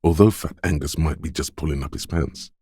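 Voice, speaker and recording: Detective's inner instinct, video game narration, rumbling low male voice, measured, knowing, quick-witted, dramatic, dry studio sound. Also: dark tone, husky, dry recording, exaggerated voice. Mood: alert, suspicious. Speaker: voice actor. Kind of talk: second-person game narration.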